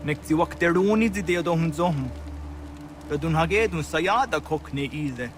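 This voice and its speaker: A man's voice: on the high side, normal speed, natural voice. Man acting an earnest, solemn villager, music behind. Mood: earnest, insistent. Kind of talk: dramatic game dialogue.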